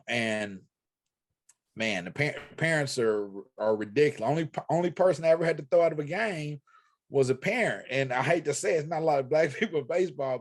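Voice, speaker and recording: A man in a casual podcast discussion, telling a story in an animated, conversational way. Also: mid-range voice.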